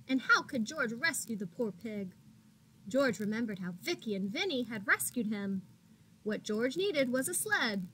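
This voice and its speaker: A woman teacher reading a picture book aloud to young children, expressively, pausing to put questions to them and gasping for suspense. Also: fairly high pitch, a little hiss or hum, poor audio. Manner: expressive, addresses children as friends, gasps for suspense.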